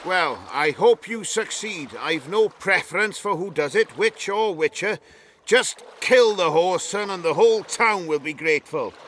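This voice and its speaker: A man's voice: high-pitched, animated, normal speed, fairly bright tone, a little hiss or hum. A man voicing an indignant, coarse river-port townsman in a video game, blunt and scolding. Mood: grudging.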